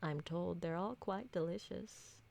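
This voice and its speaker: Woman as ancient vampire mistress for ASMR roleplay: genteel, doting, unhurried, with a creaky edge. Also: lowish voice. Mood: gracious, welcoming. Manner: soft-spoken, genteel, tender, formal wording. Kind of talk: intimate ASMR roleplay acting.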